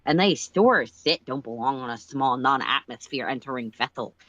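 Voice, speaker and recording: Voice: mid-range voice, expressive, normal speed, a bit bright, no echo, muffled recording, lightly acted. A storyteller voicing a blunt, bossy male alien spaceship crewmate, curt and sardonic in manner. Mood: argumentative. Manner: curt, sardonic, matter-of-fact.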